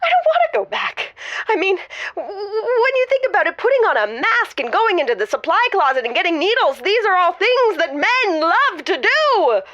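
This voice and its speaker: A woman playing a giddy fake doctor in an improvised comedy audio show, confessing haltingly and then gushing theatrically. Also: very high-pitched, big pitch swings, fairly bright tone, highs cut off, exaggerated voice. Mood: elated, grandiose. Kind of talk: improvised comedy audio drama, radio call-in.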